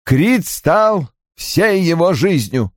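A man's voice: a little high, very animated, very slow, dry recording, clean studio sound, pitched above the performer's own voice, heavily acted. A man voicing a bitter, brusque older father in an audiobook, irritable and defensive, with cold, emphatic delivery. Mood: agitated. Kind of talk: audiobook character acting.

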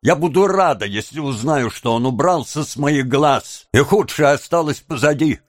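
A man's voice: lively intonation, moderate pace, dry recording, clean studio sound. A man voicing a bitter, defiant young woman of a thieves' gang in an audiobook, emotional and taunting. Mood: agitated, taunting. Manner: emotional, taunting, raised higher than the narration. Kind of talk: dramatised audiobook character.

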